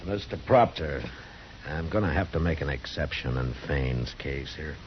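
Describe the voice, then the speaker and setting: An actor playing a weary, stern Western marshal in a 1950s radio drama, speaking in a terse, grave, measured way. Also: male voice, very deep voice, lively intonation, slight background noise. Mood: stern, steady. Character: weary, stern frontier town marshal, grown man. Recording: dull lo-fi 1950s radio recording.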